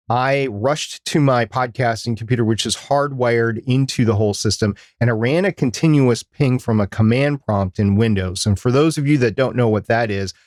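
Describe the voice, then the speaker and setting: A man's voice: mid-range voice, dry recording. A male podcast co-host with a mid-range voice talks casually and explains things in a chatty, storytelling manner. The recording is dry, with no room sound.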